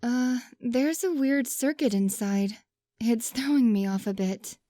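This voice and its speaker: A woman's voice: a little high, a little slow, mellow, no room sound, clean studio sound, natural voice. A woman voicing a polite, earnest young female student in a comic dub. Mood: apologetic, serious.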